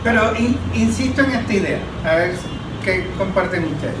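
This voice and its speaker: A man speaking as an analyst in a political roundtable, making his argument deliberately through listed examples. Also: high voice, lively intonation. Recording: echoey, distant mic, background noise.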